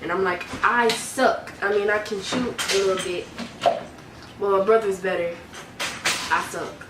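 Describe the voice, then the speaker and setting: A woman's voice: mid-range voice, a little slow. A young woman in her late teens chatting casually and emphatically, telling stories in a self-deprecating way. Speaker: vlogger. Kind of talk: casual storytelling chat. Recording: roomy, noisy, distant mic.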